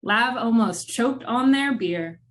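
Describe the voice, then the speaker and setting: A woman narrating a scripted film-noir radio drama in measured, dramatic storytelling with wry asides. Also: on the high side, expressive.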